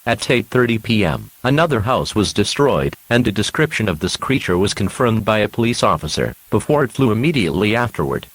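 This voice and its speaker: A man playing an earnest radio news announcer, reading a scripted emergency alert in a formal, measured manner. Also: lowish voice, husky, no echo, poor audio.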